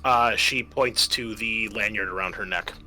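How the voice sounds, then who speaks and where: A man running a tabletop roleplaying game as game master, narrating in halting, pieced-together phrases. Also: on the low side, sharp tone, faint music behind.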